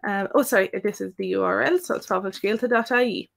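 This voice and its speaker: An Irish woman co-hosting a live question-and-answer stream about learning the language. She talks in long, meandering explanations full of fillers, at a middle pitch. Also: fast, vocal fry. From Ireland. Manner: thoughtful, rambling with many fillers. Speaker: Irish language tutor and co-host.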